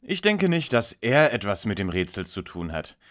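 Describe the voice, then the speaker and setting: Man playing a know-it-all young detective, animated pitch. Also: moderate pace. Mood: smug, lecturing. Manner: precise, pompous, lecturing. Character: self-assured, know-it-all young male detective leader. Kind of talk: audio drama parody acting.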